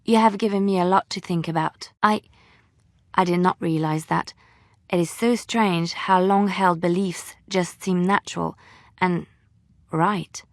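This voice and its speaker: A woman playing a devout, gentle, romantic young companion in a fantasy video game, speaking in formal, earnest phrases. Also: fairly low pitch, steady tone, measured pace, no echo, clean studio sound. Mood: apologetic.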